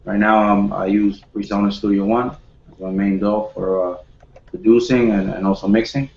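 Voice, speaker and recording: A man in his twenties from the Dominican Republic, a home studio producer chatting as a guest, modest and halting with many restarts. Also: fairly low pitch, fairly even intonation, gravelly, darkish tone, reverberant, dull audio. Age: 20s. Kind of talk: casual video call chat.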